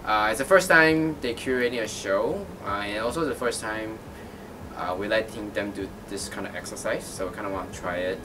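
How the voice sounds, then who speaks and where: Taiwanese-born artist, thirties, low-pitched, thinks aloud in restarts; recorded off-mic over music. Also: male voice, background noise. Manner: thoughtful, halting, many fillers and restarts. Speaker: artist and art teacher. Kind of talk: interview answers. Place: gallery. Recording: distant mic with music underneath.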